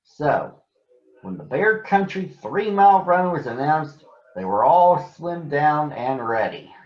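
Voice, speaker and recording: A man reading a children's story aloud in a deliberate, expressive storytelling manner. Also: on the high side. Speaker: storybook reader for children on a recurring show. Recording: echoey room, slightly dull sound.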